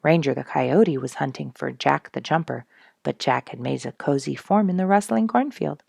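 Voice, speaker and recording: A woman reading a children's animal story in an expressive, scripted storytelling style, with a husky, creaky voice. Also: sits low, normal speed, dry recording, studio quality. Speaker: storybook reader and host.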